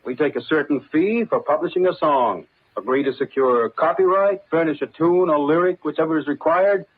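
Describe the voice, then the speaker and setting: Guy as a cocky crooked businessman in old time radio, husky voice, scornful, tinny sound. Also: fairly high pitch, normal speed, natural voice. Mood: scornful, assured. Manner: assertive, scornful. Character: shameless, self-assured male racketeer and business partner. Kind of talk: vintage radio drama acting.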